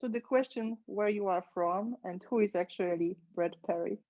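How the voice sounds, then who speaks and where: A woman hosting a podcast interview, enthusiastic and deliberate as she introduces her guest. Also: medium pitch, darkish tone, no echo, dull audio. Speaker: podcast host.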